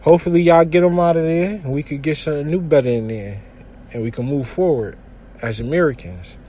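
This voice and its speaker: A young man from hosting a casual solo podcast from his kitchen, giving news commentary in a relaxed, slangy way with lots of shout-outs. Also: a little high, brisk, slight background noise. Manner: laid-back slangy talk with frequent shout-outs. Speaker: podcast host.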